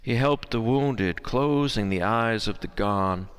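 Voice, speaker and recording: Slow American male poet in reverberant hall. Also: fairly low pitch, clear, resonant tone. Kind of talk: poetry reading. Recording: long echoing hall reverb.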